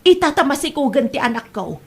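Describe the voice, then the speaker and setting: A woman's voice: sits high, very animated, a little fast. A woman playing a meddling, scheming Filipino village mother in a comedy drama, exclaiming and nagging. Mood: gloating. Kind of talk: Ilocano comedy radio drama acting.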